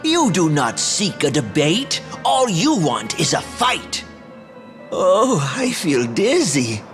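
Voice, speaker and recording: An actor playing a pompous, touchy scholar and royal tutor in a fantasy video game, speaking formally and theatrically. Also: male voice, high voice, wildly swooping pitch, slow, a bit bright, soft background music, full character voice. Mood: indignant.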